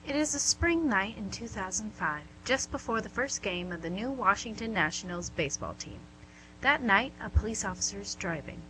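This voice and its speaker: A woman's voice: medium pitch, expressive, normal speed. A woman reading scripted narration and stage directions for a radio play. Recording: hum and clear background noise.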